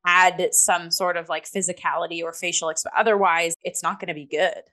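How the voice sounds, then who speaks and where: A woman in a casual review discussion, hosting and talking in an animated, emphatic way with many filler "likes". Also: on the low side, fairly quick. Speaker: theatre review show host.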